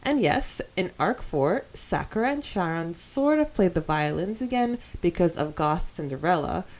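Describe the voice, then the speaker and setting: A woman's voice: fairly low pitch, animated, moderate pace, darkish tone, low-quality recording. A woman co-hosting a podcast, chatting casually and reading out fan comments with giggly enthusiasm. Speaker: podcast co-host.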